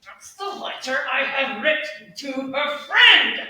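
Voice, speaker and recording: A woman playing an earnest, lovesick young gentleman in a Shakespearean comedy, with halting, flustered delivery. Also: high-pitched, animated, heavily acted. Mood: puzzled. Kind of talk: Shakespearean comedy staged reading. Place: large echoey indoor room, staged reading. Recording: echoey hall from far off, faint noise.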